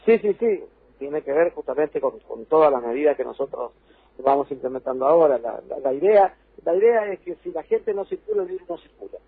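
A man's voice: on the high side, lively intonation, brisk, breathy edge, no echo. An Argentine man, a provincial health official, explaining rules insistently in a radio interview by phone, repeating points for emphasis. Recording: dry, tinny phone line.

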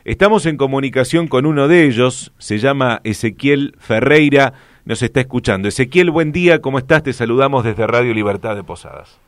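A man's voice: medium pitch, lively intonation, fairly quick, no room sound. Man, Argentine Spanish accent, friendly radio host, mid-range voice, dry studio sound. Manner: friendly, conversational questioning. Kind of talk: radio interview.

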